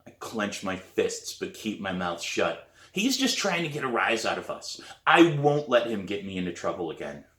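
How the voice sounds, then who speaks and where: A man narrates a children's story expressively. His voice sits low but moves up and down with animation, and the room adds echo. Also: sing-song, normal speed, fairly bright tone. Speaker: author reading own novel. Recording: roomy echo.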